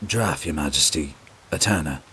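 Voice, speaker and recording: An actor playing a loyal, measured royal guard captain in a fantasy audio drama. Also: male voice, very deep voice, sharp tone, husky, exaggerated voice, poor audio. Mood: formal.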